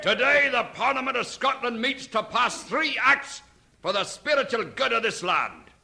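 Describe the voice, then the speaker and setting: Emphatic nobleman, declamatory radio drama, gravelly edge, animated pitch. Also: moderate pace, slight background noise, exaggerated voice. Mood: solemn, proclaiming. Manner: declamatory, proclaiming. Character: emphatic nobleman addressing Scottish Parliament. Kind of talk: old radio drama oratory.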